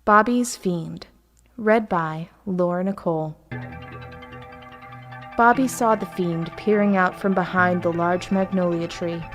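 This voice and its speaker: A woman narrating a scripted horror story in a measured, deliberate way with pauses. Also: on the low side. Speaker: story reader. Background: music.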